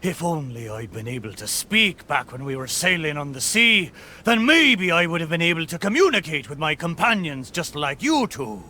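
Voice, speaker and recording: Animated, blustering boat. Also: male voice, a little high, a little hiss or hum. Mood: wistful, friendly. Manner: blustering, drawn-out exclamations, pirate talk. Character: talking pirate boat, hearty, excitable old seafarer. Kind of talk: theatrical video game character acting.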